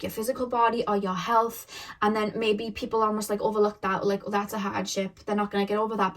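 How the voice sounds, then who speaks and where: A woman's voice: medium pitch, fairly bright tone. A young woman giving a casual tarot reading to the camera, rambling with many fillers and self-corrections, her voice a little shaky. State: voice getting shaky. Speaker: tarot reader.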